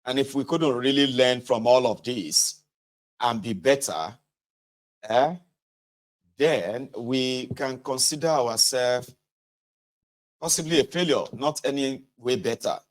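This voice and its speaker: A man's voice: mid-range voice, takes it slowly, long pauses. Nigerian man reading aloud, chatting to listeners. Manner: conversational, addresses listeners directly, stumbles and self-corrects. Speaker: host reading a book aloud with commentary. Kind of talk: read-aloud narration with casual asides.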